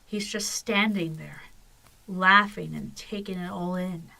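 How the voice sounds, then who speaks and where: A woman narrates a dramatic story with a solemn, measured delivery. Her voice sits a little low for a woman, and she reads slowly, as scripted narration for an audio drama. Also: creaky, sharp tone, poor audio.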